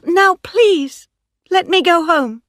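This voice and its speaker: A woman reading the part of a frightened, reluctant young woman farmer in an audiobook, with high, pleading, breathless lines. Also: takes it slowly, a bit bright, no room sound, clean studio sound, full character voice. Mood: refusing.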